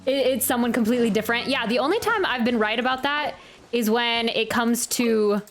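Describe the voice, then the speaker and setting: A woman's voice: a little high, a little fast. A woman game streamer chatting casually as she reacts, rambling and stammering while thinking aloud. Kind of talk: casual gameplay reaction chat. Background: faint game music.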